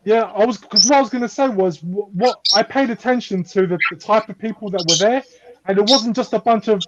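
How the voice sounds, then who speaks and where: A man's voice: high voice, fairly quick, a loud crowd. A man, joking and laughing in a casual video-call chat. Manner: jokey, laughs a lot. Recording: muffled lo-fi call audio.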